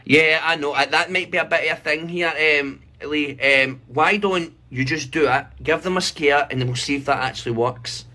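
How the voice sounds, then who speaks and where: A man's voice: fairly high pitch, normal speed, hardly any pauses, bright, forceful tone. A man in his thirties making a prank phone call in a rambling, mock-official manner, recorded on a phone in a bedroom. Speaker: prank caller. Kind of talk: prank phone call posing as army recruiter. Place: small bright bedroom indoors.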